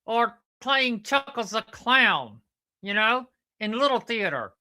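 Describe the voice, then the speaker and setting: A man puts on a talking platypus for puppet comedy, pitched high and very bright. The creature is touchy and whiny, hammering his points in exasperated, repetitive bursts. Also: big pitch swings, slow pace, heavily acted. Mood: indignant. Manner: exasperated, emphatic, repeats himself.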